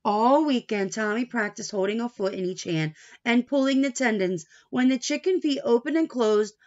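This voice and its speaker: A woman reading a picture book aloud to young children like a teacher, playful and expressive, with asides, questions and some Spanish words mixed in. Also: on the high side, moderate pace, highs cut off. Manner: playful, expressive, with gasps and exaggerated reactions; drops Spanish words in and translates them.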